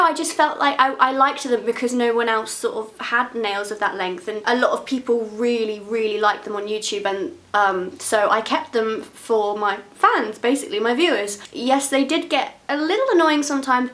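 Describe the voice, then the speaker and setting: A woman's voice: a little high, fairly bright tone. A woman, talking casually to her viewers on camera. She sounds nasal from a cold and chats frankly, laughing often. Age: 20s. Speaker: beauty vlogger.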